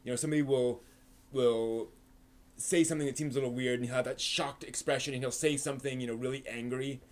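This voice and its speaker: A man's voice: medium pitch, steady tone, quick pace. A man hosting a live stream, giving a casual, off-the-cuff review and often rephrasing himself as he explains.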